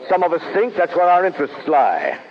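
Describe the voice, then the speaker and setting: A man's voice: high-pitched, sing-song, brisk. An actor playing an earnest, conciliatory colonial statesman in an old-time radio drama, speaking politely and formally. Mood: measured. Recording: dull old radio recording, crowd noise behind.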